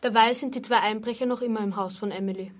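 A man's voice: sits high, steady tone, quick pace, close to an everyday voice. A boy narrating an amateur school radio play, reading from a script. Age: child. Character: storybook narrator of a burglary tale.

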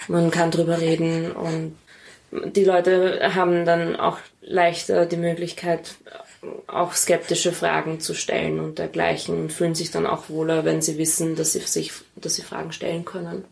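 A woman with an Austrian accent giving casual, reflective interview answers. Her voice sits a little low, stays very even in pitch and has a strong creak. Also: normal speed. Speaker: interview guest.

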